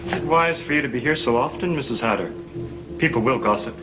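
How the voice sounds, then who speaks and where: An actor playing a scheming young stepson in a gothic soap opera, formal, measured and insinuating, with quiet menace. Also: male voice, mid-range voice, animated, natural voice, low-quality recording. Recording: old TV soundtrack with dramatic music.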